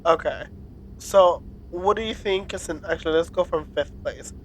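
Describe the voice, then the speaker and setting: A woman's voice: lowish voice, normal speed, a little hiss or hum, rough recording. Playful woman podcast co-host quizzing. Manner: playful quizzing and teasing, laughs often. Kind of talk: casual chat.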